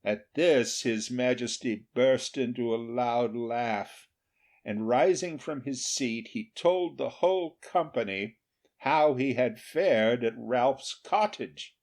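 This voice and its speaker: A man narrating a scripted storybook tale as an audiobook reader, deliberate and expressive. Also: medium pitch.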